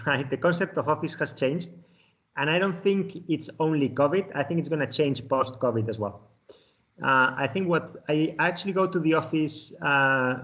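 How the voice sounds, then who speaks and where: A man in his forties from Spain with a Spanish accent, giving relaxed interview answers with frequent chuckles and fillers. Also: fairly high pitch, muffled recording. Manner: chuckles often, many fillers and restarts. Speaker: guest, finance executive.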